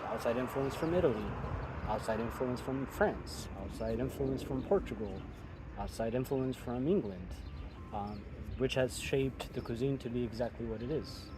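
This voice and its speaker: A young man from Ethiopia speaks in a measured, thoughtful way, often pausing with an um. He sounds like a chef explaining his cooking outdoors. Traffic noise runs clearly underneath. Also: mid-range voice. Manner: thoughtful, measured, with frequent ums. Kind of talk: casual interview chat outdoors.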